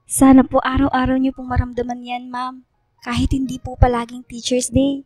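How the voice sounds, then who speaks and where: Here is a shy, devoted teenage schoolgirl played by a woman in a sentimental radio drama. She has a high voice and speaks with gentle respect and sincerity. The sound is dry, with light music underneath. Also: monotone, moderate pace, no echo. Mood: sincere, grateful. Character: shy, polite, devoted teenage girl student.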